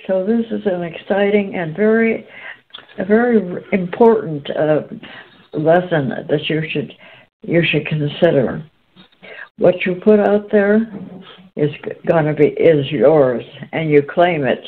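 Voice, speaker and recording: Low-voiced woman host, phone-like sound. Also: measured pace. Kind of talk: conversational discussion, putting questions.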